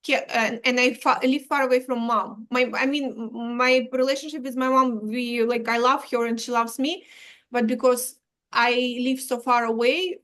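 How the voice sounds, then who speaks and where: A woman from Ukraine, explaining astrology as an interview guest, halting, with many restarts and dropped articles. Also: on the high side, fairly even intonation, a bit bright.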